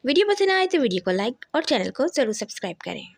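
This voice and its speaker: A woman narrating a scripted children's cartoon story in an expressive, dramatic storytelling manner. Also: high voice, quick pace, gravelly, very noisy.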